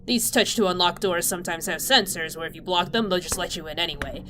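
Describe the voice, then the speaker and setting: A woman's voice: lowish voice, fast talker, natural voice. A woman playing a bold, practical accomplice who makes dry quips in a sci-fi audio drama. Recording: faint noise with vehicles and sirens.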